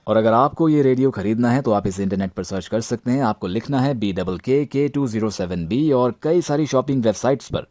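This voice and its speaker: An Indian man narrating a gadget review as its presenter, with a deadpan, tongue-in-cheek delivery. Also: medium pitch, fairly quick, hardly any pauses, dry recording.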